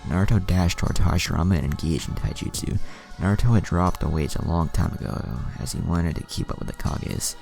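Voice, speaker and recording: A man's voice: sits low, brisk, vocal fry. A man reading scripted fan fiction as a narrator. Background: music.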